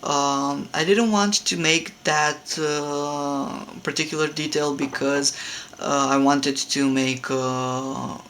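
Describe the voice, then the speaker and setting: Young Romanian-accented man, slow halting home tutorial, steady monotone delivery, faint hiss. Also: a little high. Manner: hesitant, many fillers and restarts. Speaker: hobby sculptor. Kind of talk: casual tutorial talk. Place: home room.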